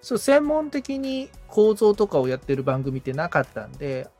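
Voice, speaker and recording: Animated, tentative male podcast assistant. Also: fairly high pitch, normal speed, faint music behind. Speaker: podcast assistant being coached. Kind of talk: casual conversation, asking and musing.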